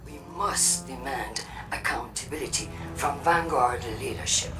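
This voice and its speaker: Calculating robot politician, alarmist game broadcast, deliberate speech, loud music all over it, bit far off. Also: female voice, very deep voice. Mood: grave, urgent. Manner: formal, deliberate public-address oratory. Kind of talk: video game broadcast announcement.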